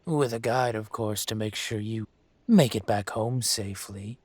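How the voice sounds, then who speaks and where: A man playing a dragon mafia boss in an audio roleplay, speaking with measured, condescending menace and smug control. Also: lowish voice, husky, no room sound. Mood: stern, condescending. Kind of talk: scripted roleplay monologue to the listener.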